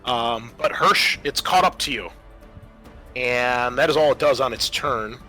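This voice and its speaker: A man's voice: medium pitch, measured pace, pushed and bright, soft background music. A man acting as game master, narrating a tabletop roleplaying game in a conversational way.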